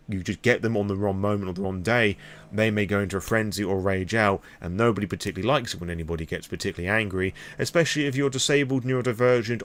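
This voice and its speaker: A British man with a fairly low-pitched voice speaks in an unscripted vlog style. He rambles through his opinions frankly, in loose, informal and sometimes sweary phrasing. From Britain. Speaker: vlogger on tabletop roleplaying lore. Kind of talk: impromptu opinionated vlog monologue.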